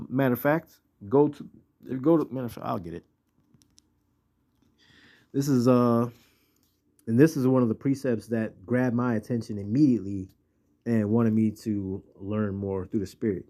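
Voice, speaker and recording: A man's voice: mid-range voice, moderate pace, mellow. An American man preaching informally in a colloquial, slangy way, moving between plain talk and scripture reading. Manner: colloquial, street slang and profanity. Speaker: religious teacher.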